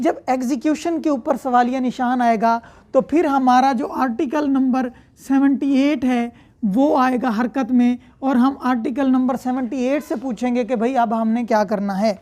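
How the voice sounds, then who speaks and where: A woman's voice: high voice, normal speed, warm tone. A woman with a Pakistani accent giving an explanatory law lecture, repeating points in a didactic way and checking that listeners follow. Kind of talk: explanatory lecture mixing read-out statute with commentary.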